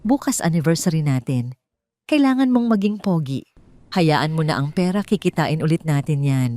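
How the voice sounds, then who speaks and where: Woman as calm, sweetly scheming wife. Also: low-pitched, moderate pace. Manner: calm, tender, innocent-sounding.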